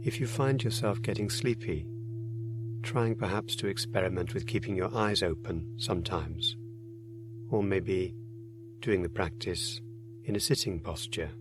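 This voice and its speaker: Deep-voiced man guiding a meditation. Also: over music. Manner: gentle, unhurried instruction with many pauses. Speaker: meditation guide. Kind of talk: guided meditation narration.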